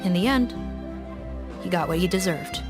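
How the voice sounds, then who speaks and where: A woman voicing a composed police officer in a video game, reporting in a calm, matter-of-fact way. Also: lowish voice, normal speed, natural voice. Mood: firm. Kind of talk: video game dub dialogue. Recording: game cutscene with music beneath. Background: music.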